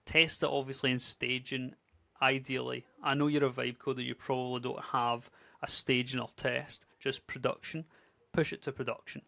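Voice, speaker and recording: A man in his thirties with a Scottish accent, chatting casually and upbeat through a screen-share software tutorial. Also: medium pitch, flat delivery, normal speed, creaky, dry recording. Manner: chatty, upbeat, conversational with filler words. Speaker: tech YouTuber.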